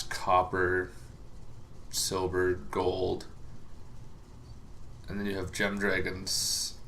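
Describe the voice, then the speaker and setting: A man giving a casual, unscripted explainer as a host, halting and rambling with long pauses, over some background noise. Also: low-pitched, vocal fry, pushed and bright. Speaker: host of a tabletop gaming lore series.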